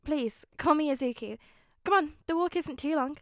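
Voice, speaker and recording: A woman's voice: high-pitched, normal speed, no echo, studio quality, voice pushed up. A woman voicing a capable, kind but stubborn teenage boy in a storybook reading. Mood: helpful. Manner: focused, switching from stern to cheery.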